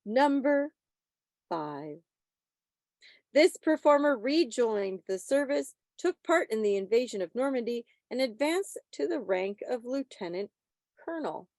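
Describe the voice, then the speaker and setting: A woman with a fairly high voice hosts a quiz in a chatty, informal way. She teases playfully as she goes, and the sound is dry and close, with little room in it. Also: sing-song, unhurried, a little mellow, no echo. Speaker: quiz host at a club meeting. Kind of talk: casual quiz presenting on a video call. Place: online video meeting.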